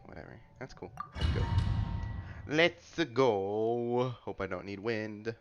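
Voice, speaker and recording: A man's voice: fairly low pitch, slow pace, lo-fi sound, a little hiss or hum. A man giving casual, offhand gameplay commentary, often muttering to himself and teasing as he goes. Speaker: gaming content creator. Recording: game music behind the voice.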